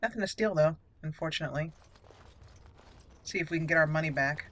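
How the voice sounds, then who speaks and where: A woman's voice: deep voice, brisk, a bit bright. A woman hosting a roleplay let's play, chatty and playful, thinking aloud as she goes. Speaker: gaming host.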